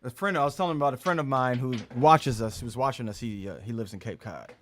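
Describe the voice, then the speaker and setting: A man in casual podcast chat, telling stories with quick asides that trail off softly. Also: medium pitch, slight background noise. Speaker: podcast co-host.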